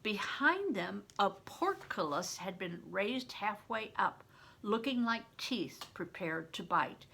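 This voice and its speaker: A woman's voice: mid-range voice, sing-song, takes it slowly, creaky, bright, forceful tone, breathy edge. An older woman, a grandmother, reading a story aloud expressively, as if to children. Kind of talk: read-aloud audiobook narration with plain asides.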